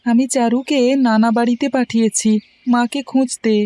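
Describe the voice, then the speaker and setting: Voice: mid-range voice, level tone, normal speed, mellow, natural voice. A voice actor playing a young son who worries about his quarreling parents in a cartoon. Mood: worried.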